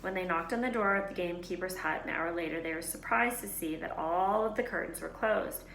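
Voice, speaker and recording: A woman reading a children's novel aloud as a teacher, in expressive storybook narration. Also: lowish voice, fairly quick, creaky. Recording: echoey room, faint background noise.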